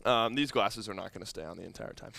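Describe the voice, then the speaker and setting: A man's voice: on the low side, fast talker, vocal fry, a crowd in the background. A man casually hosting an esports roundtable, bantering and asking the others questions in an unscripted, joking way.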